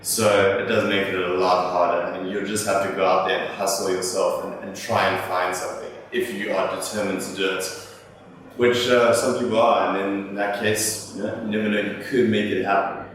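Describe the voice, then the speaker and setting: A man's voice: low-pitched, little pitch movement, normal speed, a bit bright. A young man hosting a vlog, casually answering viewer questions and rambling a little, with fillers and restarts. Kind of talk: casual talk to camera. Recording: echoey room from far off, faint noise.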